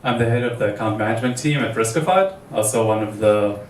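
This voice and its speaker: Man from israel, mid pitch, brief intro, echoey room, far from mic. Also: quick pace. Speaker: panelist. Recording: echoey room, distant mic, faint noise.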